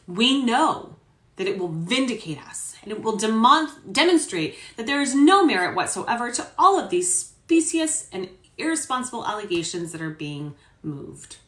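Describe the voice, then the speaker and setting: Indignant attorney, acted by a woman, formal dramatic-reading delivery, very animated pitch, roomy sound. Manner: formal, emphatic, self-righteous. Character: indignant, emphatic male defense attorney arguing in court. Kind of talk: dramatic reading of court argument. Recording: roomy echo.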